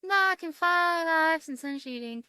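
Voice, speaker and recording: A woman reading a visual novel aloud as a game streamer, casually and haltingly, dropping in side comments of her own. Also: extremely high pitch, lively intonation, bright, forceful tone. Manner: halting, stumbling read-aloud.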